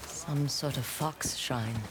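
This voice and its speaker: Wandering swordswoman for a video game: low, understated, guarded delivery, heavy nature noise underneath. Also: slow. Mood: quiet wondering. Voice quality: low-pitched for a woman. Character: tough, reserved wandering swordswoman, adult. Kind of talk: scripted video game dialogue. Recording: loud game ambience behind voice.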